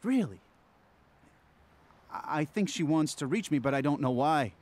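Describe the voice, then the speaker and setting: A man's voice: on the high side, animated, a little slow, darkish tone. A man playing an anxious, obsessed client in an audio ghost story, speaking in a hesitant, stammering, earnest way. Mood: uncertain, earnest.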